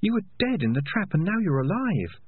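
A man performs a young rat in a storybook, eager and deferential. The voice sits high and chatters earnestly, with a husky edge and a thin, band-limited sound. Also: big pitch swings, brisk, no echo, phone-quality sound, exaggerated voice. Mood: awed, eager.

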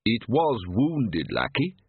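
A genteel vampire father, as a man plays him, soothing and parental with a hint of suppressed annoyance. His voice is husky and lively, recorded close and dry with a tinny, narrow band. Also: medium pitch, slow, exaggerated voice. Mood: placating, dry. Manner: parental, soothing, with repressed irritation. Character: conciliatory, patient aristocratic vampire father.

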